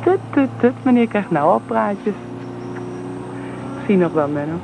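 An actress playing a wry, reserved but warm young mother in a Dutch radio drama. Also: medium pitch, lively intonation, a little slow, gravelly, low-quality recording. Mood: wry, hesitant. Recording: loud vehicle noise behind voice.

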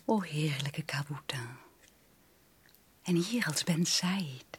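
An actress in a Dutch radio drama playing a dreamy, infatuated young aunt who confides in breathy half-whispers to a small child. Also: female voice, low voice, slow pace, full character voice. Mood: dreamy, confiding.

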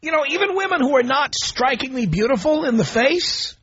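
Brash, high-voiced male radio host. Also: animated, fairly quick, a bit bright, no echo, dull audio. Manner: brash, opinionated, blunt. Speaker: radio talk show host. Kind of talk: call-in radio talk.

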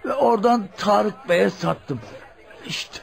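An actor playing a hard-drinking, poor father who confesses his crimes bluntly and haltingly in a radio drama. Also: sits high, creaky, a faint crowd, full character voice. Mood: grim, confessing.

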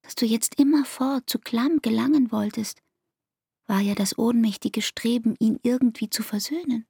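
An actress playing an anguished, devoted young barmaid in an intimate radio play, with speech and a breathy, half-whispered, intense delivery. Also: female voice, a little high, normal speed, warm tone, no room sound, studio quality, heavily acted. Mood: tender, anguished.

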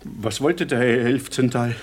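A man's voice: medium pitch, a little slow, slight background noise, natural voice. An actor playing a self-important, bumbling man in a comedic radio play, portentous and formally polite. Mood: solemn, sheepish.

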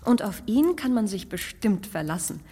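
An actress playing a gentle, dutiful grown daughter who speaks soothingly. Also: female voice, medium pitch, very animated, normal speed, breathy edge, in a large hall. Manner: soothing, reassuring. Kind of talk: radio play acting.

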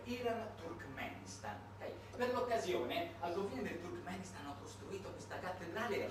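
A man delivering a satirical theatre monologue in a conversational, explanatory way, swinging into declamation and rhetorical questions. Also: fairly high pitch. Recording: distant, very echoey, noisy live stage recording.

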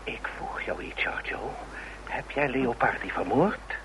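An actor playing a domineering, menacing criminal older brother in a radio play, bullying and insistent. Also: male voice, medium pitch, measured pace, close to an everyday voice. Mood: threatening. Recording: noisy old radio drama recording.

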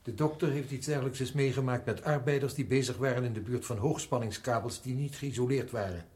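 Man as middle-aged prison director, radio play, mid-range voice, sober, measured, formal, authoritative. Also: medium pitch, a little mellow, natural voice. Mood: formal, explanatory.

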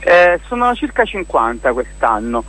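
A man speaking casually as an interview guest and festival organiser, in an informal, halting way, often searching for words. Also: a little high, very animated, a bit bright, low-quality recording.

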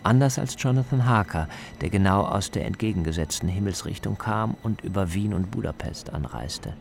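A man narrating a scripted radio travel feature as the reporter, in a measured, descriptive way. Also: on the low side, animated, gravelly, slight background noise.

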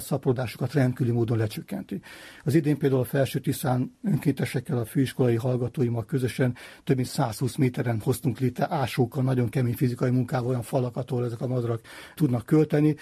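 Guy, really raspy dark voice, earnest field researcher being interviewed. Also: medium pitch, dry recording. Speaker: bird conservationist and researcher, interviewee. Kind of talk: unscripted interview about field work.

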